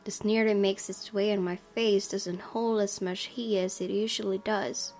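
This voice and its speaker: A woman's voice: mid-range voice, steady tone, measured pace, a little mellow. A woman reading a visual novel aloud as scripted first-person narration for a gaming video. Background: music.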